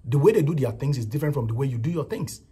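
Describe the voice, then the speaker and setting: Husky Nigerian man giving opinions. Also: medium pitch, expressive, racing, mellow. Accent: Nigerian English. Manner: repetitive, emphatic, frequent "you know" and "right". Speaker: vlogger commenting on a viral clip. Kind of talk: casual opinion commentary.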